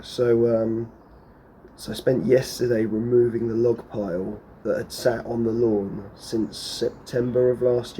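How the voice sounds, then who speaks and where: A man chatting casually to camera in a vlog about lawn care, hoarse and losing his voice, rambling with lots of fillers and restarts. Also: mid-range voice, warm tone, slight background noise.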